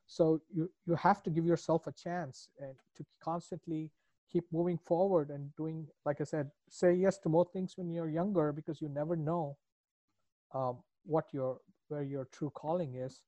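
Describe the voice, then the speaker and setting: A man's voice: on the high side, fairly even intonation, normal speed, warm tone, no room sound, muffled recording. A middle-aged man with a foreign accent in English, a business coach answering podcast interview questions in an earnest, reflective, rambling way.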